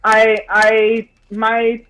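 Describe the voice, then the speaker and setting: A man's voice: high voice, completely flat delivery, very slow, a bit bright, slight background noise. A man in his twenties, a native Scots speaker, chatting casually and jokily as co-host of a group livestream on a video call.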